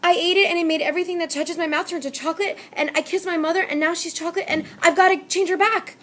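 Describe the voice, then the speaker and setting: A woman voicing a desperate young boy who pleads loudly and urgently in a storybook reading. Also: very high-pitched, steady tone, quick pace, voice pushed up. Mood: frantic.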